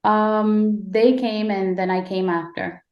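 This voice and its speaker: Female podcast guest from Guyana, average pitch, measured explanatory delivery, strong room echo. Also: flat delivery, lo-fi sound. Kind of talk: conversational interview answers. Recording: echoey room.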